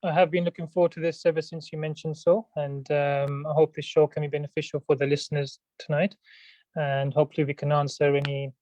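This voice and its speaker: A man who is an immigration solicitor, explaining politely and in a measured way as a radio guest. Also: fairly high pitch, level tone, warm tone, no room sound, dull audio.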